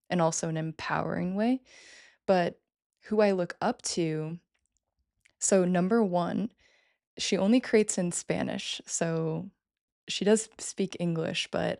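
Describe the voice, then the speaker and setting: Woman guest, gravelly and fairly monotone, chatty and keen. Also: on the low side, no echo, clean studio sound. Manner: enthusiastic, many fillers and restarts. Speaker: podcast guest. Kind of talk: casual interview talk.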